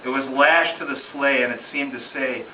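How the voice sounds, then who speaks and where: A man's voice: mid-range voice, normal speed. A man giving a dramatic, rhythmic recitation of a narrative ballad as an amateur reader, telling it like a story with emphatic phrasing. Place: room in a home, at a gathering. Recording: roomy distant muffled home recording, faint noise.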